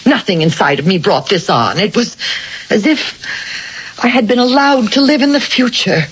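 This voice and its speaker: An actress playing a sensitive, anxious wife in an old-time radio drama, speaking earnestly and with hesitation. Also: female voice, fairly high pitch, expressive, normal speed, close to an everyday voice. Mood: pleading, earnest. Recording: muffled, noisy old radio broadcast.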